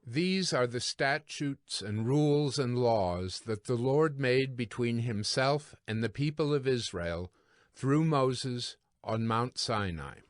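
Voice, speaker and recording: A man narrates scripture in a formal, deliberate style. His voice sits at a middle pitch and moves slowly, recorded dry with little room sound. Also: expressive, dry recording.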